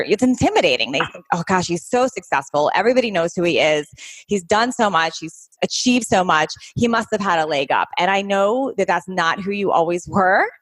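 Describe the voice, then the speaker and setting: A woman's voice: fairly low pitch, fairly bright tone, dry recording. Upbeat woman podcast host. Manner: enthusiastic, upbeat. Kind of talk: podcast interview.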